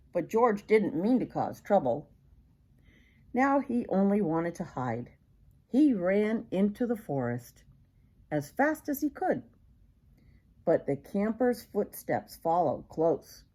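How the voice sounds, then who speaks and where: A woman's voice: on the low side, dark tone, slightly rough. An older woman reading a children's picture book aloud expressively, as a grandmother would, with questions to the young listener.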